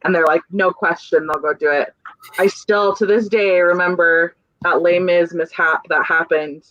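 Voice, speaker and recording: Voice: mid-range voice, fairly even intonation, normal speed, a bit bright, dry recording, lo-fi sound. A young adult stage manager in a casual panel chat, talking earnestly and searching for words as she rambles. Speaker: stage manager on a theater crew.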